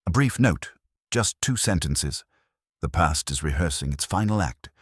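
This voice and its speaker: A man voicing a frail, anxious, theatrical stage director in an audiobook, halting and dramatic. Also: a bass voice, expressive, slightly rough, dry recording, clean studio sound, exaggerated voice. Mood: ominous. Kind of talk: audiobook character dialogue.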